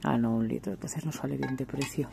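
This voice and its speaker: A woman's voice: rumbling low, steady tone, fairly quick, creaky, music in the background. A woman chatting casually while filming her supermarket shopping on a handheld camera. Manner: chatty, exclaims over prices and products. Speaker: vlogger. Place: supermarket indoors. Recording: noisy supermarket ambience.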